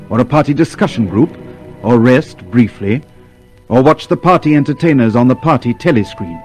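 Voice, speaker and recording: An actor playing a grave, ominous narrator in a radio drama, delivering solemn, measured phrases. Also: male voice, medium pitch, a little mellow, lightly acted.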